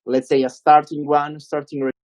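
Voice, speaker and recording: A man's voice: on the high side, level tone, moderate pace, darkish tone, dry recording. Hesitant man asking a question, dry sound. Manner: hesitant, many fillers. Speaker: audience member asking questions. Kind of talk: webinar question.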